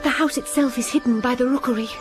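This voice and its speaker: An actress playing a young English governess, narrating in the first person with measured, wistful delivery over music. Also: female voice, sits high, fairly even intonation, lightly acted. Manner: measured, wistful, rising to urgent. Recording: music under the voice.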